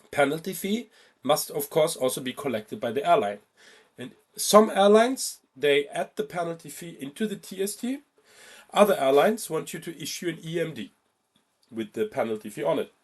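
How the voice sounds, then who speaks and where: A man giving an informal step-by-step software tutorial as an instructor, halting at times and stressing key points by repeating them. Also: a little high, breathy edge. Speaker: travel booking software instructor.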